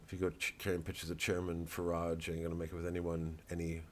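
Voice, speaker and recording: A man's voice: low voice, completely flat delivery, fast talker, slight background noise. A man, a comedian, talking casually to camera in a rambling way, with stammering restarts and free swearing.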